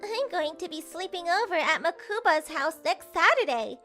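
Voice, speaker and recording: A woman's voice: very high-pitched, sing-song, normal speed, bright, forceful tone, faint music behind, heavily acted. A woman voicing a bold, pushy young girl in a romantic comedy manga dub. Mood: breezy.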